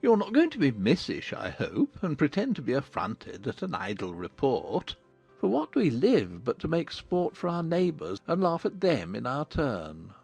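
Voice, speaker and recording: Man acting gravelly, wildly expressive witty older father. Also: a little high, moderate pace, faint music behind, pitched below the performer's own voice, exaggerated voice. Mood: amused, sardonic. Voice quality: gravelly, husky. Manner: sardonic, theatrical emphasis. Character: witty, teasing older father, mocking and amused. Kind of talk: dramatised audiobook reading.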